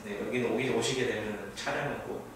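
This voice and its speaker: A man's voice: medium pitch, fairly quick. A man answering questions politely and formally as an officer instructor at a recruitment briefing. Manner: polite, formal sentence endings. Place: classroom. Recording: echoey classroom, distant mic, noisy.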